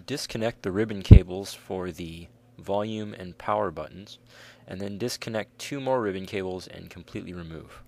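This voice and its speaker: A man's voice: low-pitched, moderate pace, gravelly, mellow, no room sound. A man reads scripted step-by-step instructions as the narrator of a repair tutorial.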